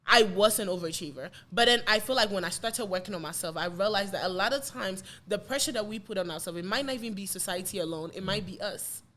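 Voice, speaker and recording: A woman's voice: medium pitch, a bit bright. A woman in her late twenties talking candidly in a casual discussion, often restarting her sentences. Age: late 20s. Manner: candid, talks in quick bursts with restarts.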